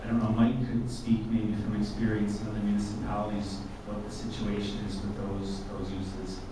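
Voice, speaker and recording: Halting man in an echoey arena. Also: on the low side, monotone, distant mic, noisy background. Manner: halting, with many restarts. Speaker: city staff presenter. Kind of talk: answering public questions.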